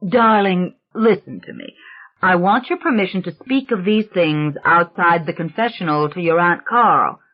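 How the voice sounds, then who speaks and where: A woman reading a gentle coaxing priest. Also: on the low side, normal speed, lightly acted. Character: earnest patient male priest, middle-aged. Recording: dull lo-fi recording.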